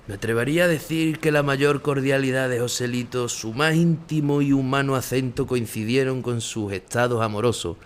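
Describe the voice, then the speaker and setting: A male actor with a Spanish accent playing an ageing, reflective, melancholy bullfighter, narrating memories in a measured, intimate way. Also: medium pitch, lightly acted. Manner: measured, solemn, intimate storytelling. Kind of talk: first-person literary narration in an audio drama.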